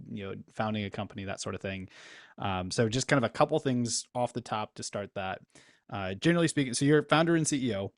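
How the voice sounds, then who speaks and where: A man's voice: on the low side, no room sound, studio quality. A man hosting a business interview, rambling through questions with lots of fillers. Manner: rambling, with many fillers like "kinda" and "you know". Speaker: interview host and business coach.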